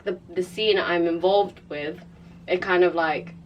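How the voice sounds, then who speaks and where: A woman's voice: fairly low pitch, measured pace, muffled recording. Young woman, slangy casual chat, mic a bit far off, faint hiss. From Philippines. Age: young adult. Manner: slang-heavy, filler-laden, laughs often. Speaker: podcast guest. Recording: distant mic, faint background noise.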